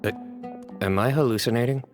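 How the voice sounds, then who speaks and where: A man voicing a stoic, calm young officer in a video game, with dry, measured, deadpan delivery. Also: medium pitch, lightly acted. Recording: loud music under dialogue. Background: game music.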